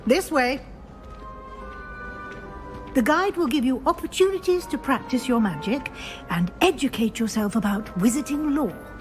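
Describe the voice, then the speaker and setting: Lively, brisk British witch professor. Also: high voice, soft background music. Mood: brisk, instructive. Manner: crisp, formal, schoolmistress-like. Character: brisk, exacting female witch professor guiding a new student. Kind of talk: video game character dialogue.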